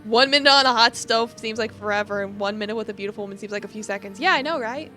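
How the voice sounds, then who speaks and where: Female game streamer, expressive narrator with chatty asides, highish and very bright tone. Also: fast, faint music behind. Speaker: live streamer. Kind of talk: dramatic read-aloud of visual novel with casual asides.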